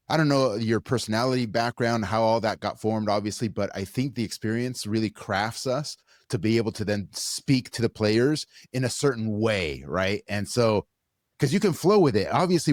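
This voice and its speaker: A man's voice: on the low side, darkish tone, no room sound, studio quality. An American man hosting a conversational interview podcast, informal and outspoken in the way he talks. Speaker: podcast host.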